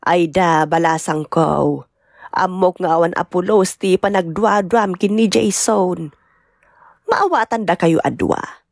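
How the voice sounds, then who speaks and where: A woman playing a caring, reassuring mother of a grown daughter in an Ilocano radio drama, solemn and measured. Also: on the low side, vocal fry, a little mellow, no room sound, clean studio sound, exaggerated voice.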